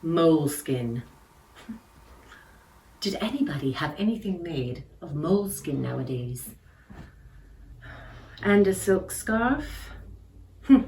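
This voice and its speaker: A woman acts out a wry, envious dinner guest in an audio drama of a novel. Her low voice is dry and arch. It sounds distant from the mic, with background noise. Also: a little mellow.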